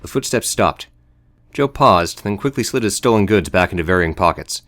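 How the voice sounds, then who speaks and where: A man's voice: low-pitched, lively intonation, moderate pace, fairly bright tone. A man reading scripted audio book narration as the narrator.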